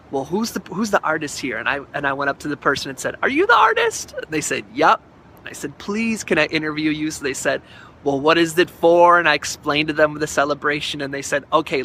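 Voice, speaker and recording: An effusive, excited man introducing and conducting an interview as the host of a show, speaking outdoors. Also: a little high, slight background noise. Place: outdoors at an art installation.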